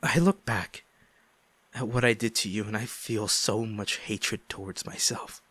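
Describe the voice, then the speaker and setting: A man acting a guilt-ridden ex-boyfriend pleading for forgiveness in an intimate audio roleplay, halting and emotional. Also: on the low side, steady tone, a bit bright, slightly rough, dry recording. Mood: regretful, earnest. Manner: halting, emotional, sighing, repeats himself.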